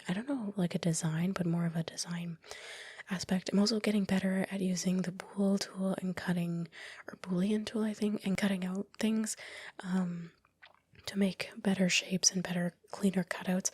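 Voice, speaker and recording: A woman giving soft, unhurried ASMR commentary in a breathy whisper, explaining informally, close to the microphone. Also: on the low side, no room sound. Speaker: video creator.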